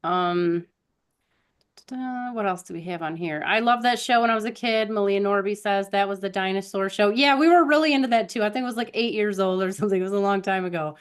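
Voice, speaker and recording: A woman's voice: mid-range voice, brisk, clear, resonant tone. Casual, rambling woman chatting on stream. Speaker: live stream co-host. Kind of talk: casual unscripted live stream chat. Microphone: online live stream.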